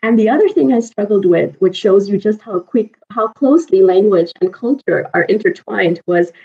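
A woman from Pakistan in her thirties, a native Urdu speaker, telling personal stories in an animated, chatty way as an interview guest. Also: medium pitch, moderate pace, creaky. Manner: animated, chatty, laughs often. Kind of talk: casual personal storytelling in conversation. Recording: dull lo-fi audio.

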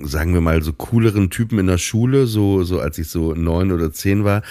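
A man co-hosting a relaxed bedtime podcast, telling youth memories in a halting way with many restarts. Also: sits low, no echo, studio quality. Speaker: podcast co-host.

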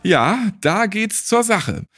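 A man's voice: high-pitched, very animated, takes it slowly, heavy background noise. A man hosting a podcast, giving a scripted promotional read. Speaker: podcast host reading an ad.

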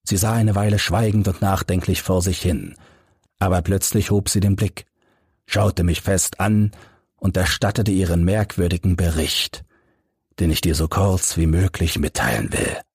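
A man narrating an audiobook in a measured, formal, literary reading style. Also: deep voice, steady tone, darkish tone, breathy edge, dry recording, clean studio sound.

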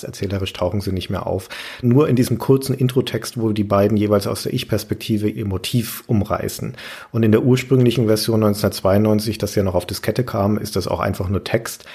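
A man's voice: fairly low pitch, creaky, a little mellow, husky. A man hosting a podcast, discussing video games analytically and explaining in long, articulate sentences. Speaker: podcast host.

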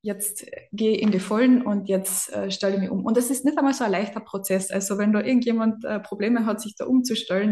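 A woman in her thirties from southern Austria with a Carinthian accent, chatting as a physiotherapist guest on a podcast, lively and self-deprecating. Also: mid-range voice, echoey room. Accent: Carinthian Austrian German. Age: 30s. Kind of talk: casual podcast interview.

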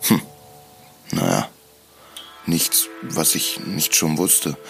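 A man reading scripted, dramatic first-person narration in a brooding, solemn and deliberate manner. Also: a bass voice, big pitch swings, vocal fry, fairly bright tone, soft background music.

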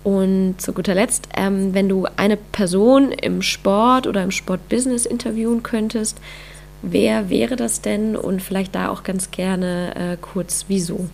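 A woman hosting a podcast, reading an intro and then chatting with a guest, her voice hoarse from a cold. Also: mid-range voice, steady tone, a little slow, darkish tone, a little hiss or hum. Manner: upbeat, with many fillers. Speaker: podcast host. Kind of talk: interview podcast, scripted intro then chat.

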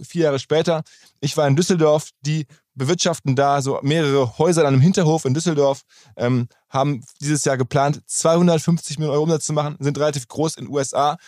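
A man's voice: on the high side, a little fast, darkish tone, no echo, clean studio sound. A man hosting a podcast, speaking in a chatty, informal way. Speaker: podcast host. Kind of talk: casual podcast talk and interview, with host-read ad.

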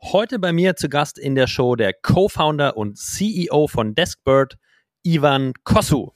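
A man's voice: fairly high pitch, lively intonation, unhurried. A man hosting a business podcast, upbeat and peppering his German with English startup terms, talking in a podcast studio. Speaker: podcast host. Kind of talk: casual interview with a scripted intro.

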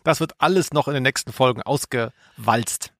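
A man's voice: medium pitch, moderate pace, fairly bright tone, no echo. A man co-hosting a podcast, chatting casually with offhand, dry humour. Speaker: podcast co-host. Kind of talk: casual chat.

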